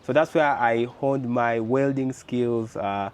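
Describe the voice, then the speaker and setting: A young man with a Ugandan English accent, talking casually in an interview, animated and full of rhetorical questions and fillers. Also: mid-range voice, slow. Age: young adult. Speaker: guest, car builder.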